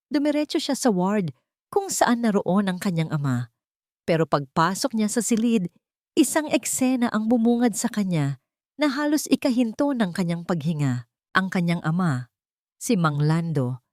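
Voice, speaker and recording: A woman's voice: lowish voice, a little mellow, no echo, studio quality. A woman reading a scripted romance story as a dramatic narrator.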